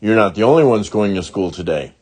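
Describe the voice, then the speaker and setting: A man's voice: lowish voice, animated, fairly quick, a little mellow, husky. A man reading the part of a cheerful, teasing father in a children's storybook, good-humoured and explanatory.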